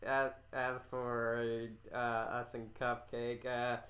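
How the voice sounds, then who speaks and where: A man hosting a casual podcast, talking in a rambling, halting way and often repeating his phrases. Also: mid-range voice, monotone, a bit bright. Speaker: podcast host.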